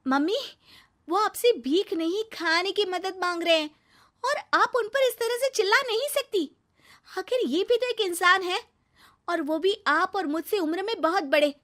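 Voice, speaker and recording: A woman voicing an outspoken, questioning young bride in an animated moral story, earnest and emphatic. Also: extremely high pitch, moderate pace, pitched above the performer's own voice. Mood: indignant.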